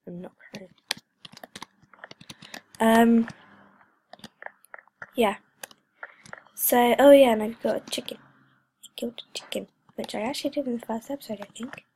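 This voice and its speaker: A woman's voice: on the high side, darkish tone. A teenage girl rambling through casual gameplay commentary, with lots of fillers, frequent drops into whispers, and a slightly stuffy voice from a cold. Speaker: gaming channel host. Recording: noisy home recording with clicks.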